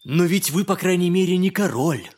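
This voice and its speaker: Indignant gentleman, raised voice. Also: sits high, lively intonation, normal speed. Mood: curt, irritated. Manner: stiff, formal, exasperated. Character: indignant, dignified gentleman, easily offended. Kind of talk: audio drama acting.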